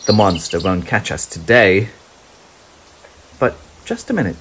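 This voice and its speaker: Slow, highly animated children's storybook narrator. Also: a little hiss or hum. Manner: dramatic, suspenseful, deliberate phrasing. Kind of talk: expressive children's read-aloud storytelling.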